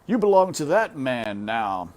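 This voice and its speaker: A man voicing a curt, uncaring father who talks loudly and brushes his daughter off. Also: mid-range voice, big pitch swings, measured pace, voice pushed up. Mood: dismissive. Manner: loud, curt, dismissive. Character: gruff, uncaring peasant father.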